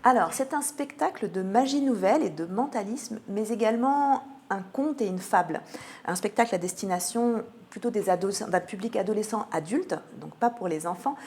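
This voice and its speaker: A woman answering in an interview as a theatre programming manager, often pausing mid-sentence as she presents a programme. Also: medium pitch, lively intonation, darkish tone. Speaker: theatre cultural programming manager, radio guest.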